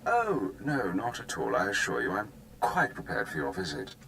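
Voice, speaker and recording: An actor playing a smug, mockingly courteous military commander in a video game, speaking in a suave, formal, menacing way. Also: male voice, on the low side, huge pitch swings, normal speed, bright, forceful tone, a little hiss or hum, full character voice. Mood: mockingly polite. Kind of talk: scripted video game cutscene acting.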